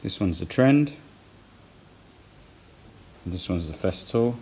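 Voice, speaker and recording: A man's voice: low voice, expressive, slow pace, warm tone, slight background noise. A man in his thirties, plainly and practically talking through saw blade tests in his woodworking workshop. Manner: plain, practical, thinking aloud. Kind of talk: workshop tool review.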